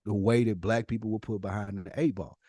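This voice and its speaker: A man's voice: lowish voice, steady tone, fairly quick, dark tone, no echo, studio quality. A man from the United States talking as a podcast host in casual, opinionated chat, slangy and emphatic in his delivery.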